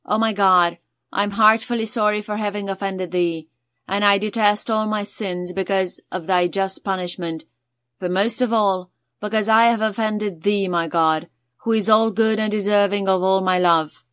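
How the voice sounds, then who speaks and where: A woman reciting prayers in a slow, reverent, measured way. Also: medium pitch, fairly even intonation, a bit bright, no echo. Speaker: prayer leader.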